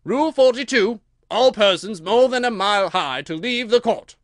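A man's voice: mid-range voice, big pitch swings, moderate pace, sharp tone, no room sound, studio quality. A man voicing a pompous, anxious, blustering king in a storybook reading, declaiming with emphasis. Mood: pompous, commanding.

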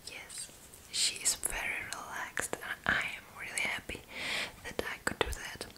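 A woman in her twenties whispering softly and breathily in a gentle, intimate ASMR roleplay, close to the microphone. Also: unhurried. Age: 20s. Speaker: ASMR video creator playing a roommate. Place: small indoor room.

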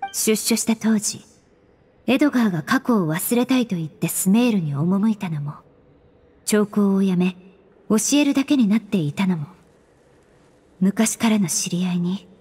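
A woman voicing a composed, polite young female investigator in an anime game, formal and analytical, with a soft breathy voice. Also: mid-range voice.

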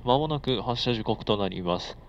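A man's voice: mid-range voice, lively intonation, moderate pace. A man making formal, polite train announcements as the conductor, heard over an onboard speaker. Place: inside a moving commuter train. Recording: muffled onboard speaker with noise. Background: faint train and air-conditioning noise.

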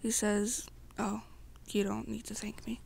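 A young woman telling an ASMR roleplay story in the second person, her breathy voice sometimes dropping toward a whisper. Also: mid-range voice, level tone, a little slow, a little mellow.

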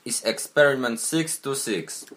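A woman reading a storybook aloud, voicing a proud male alien scientist in a gruff, lowered, slow voice. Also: a bit bright. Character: boastful alien scientist man, proud of his creation.

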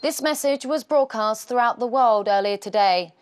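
A woman acting as a serious news anchor in a game cutscene. She reads formally in a fairly high voice. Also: moderate pace, clean tone, close to an everyday voice. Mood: grave.

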